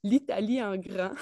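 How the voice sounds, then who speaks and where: A teenage woman, about nineteen, with a Quebec French accent and a medium-pitched voice. She chats in an enthusiastic, colloquial way as an interview guest, recorded with very little room sound. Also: dark tone, no echo. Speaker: interview guest, network marketer. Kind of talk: casual chat.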